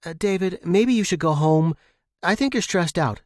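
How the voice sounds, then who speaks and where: A man's voice: high-pitched, expressive, moderate pace, no room sound, clean studio sound, voice pushed up. A man voicing a concerned female office boss in audio fiction, questioning and placating. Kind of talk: audio fiction character dialogue.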